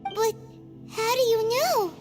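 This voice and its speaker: A woman voicing a tiny, excitable, curious girl sidekick in a video game, squeaky and childlike, full of exclamations and questions. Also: slow. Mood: astonished. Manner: wide-eyed, exclamatory, questioning. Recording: music behind the voice.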